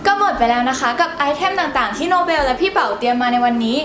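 A woman's voice: high voice, lively intonation, fast, noisy background. A young teenage schoolgirl co-hosting a student vlog, explaining things politely to viewers. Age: young teenager, secondary school student. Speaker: student co-host of a school channel. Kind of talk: scripted vlog presenting to camera. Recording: roomy echo, music behind. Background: background music.

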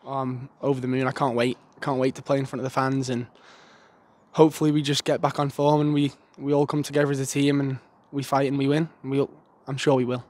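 A man's voice: medium pitch, little pitch movement, fairly quick, noisy background. A young man giving a casual, earnest post-match interview outdoors in a stadium stand into a handheld mic. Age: young adult. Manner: casual, plain-spoken, earnest. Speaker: footballer.

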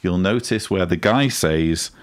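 Very low-pitched man giving commentary. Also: a little slow, faint music behind. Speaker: host, commentator. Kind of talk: critical video commentary to camera. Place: small indoor room with bookshelves. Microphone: studio mic on boom arm, close.

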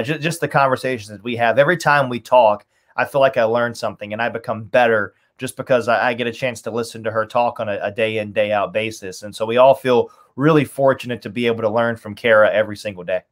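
Enthusiastic man talking sports, medium pitch. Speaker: radio play-by-play announcer as podcast guest. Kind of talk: sports podcast talk. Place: small furnished room at home, on a video call.

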